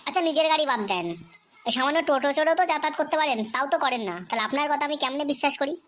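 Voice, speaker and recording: Silly cartoon schoolboy: squeaky high pitch, very quick tongue, cheeky literal-minded comebacks. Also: a bit bright. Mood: argumentative. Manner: cheeky, playful backtalk. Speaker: pupil answering a teacher. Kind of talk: comedy cartoon dialogue.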